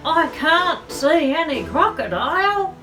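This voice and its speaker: Plucky little storybook girl, voiced by a woman: high, polite and eager, lilting, music behind. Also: big pitch swings, slow, bright, forceful tone, distant mic, exaggerated voice. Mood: polite, hopeful.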